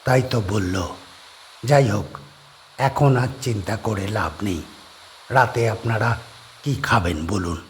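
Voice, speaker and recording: An actor playing a calm, polite man who hosts guests at his island bungalow. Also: lowish voice, takes it slowly, long pauses, a little hiss or hum, pitched below the performer's own voice. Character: middle-aged man D'Souza, calm island bungalow host.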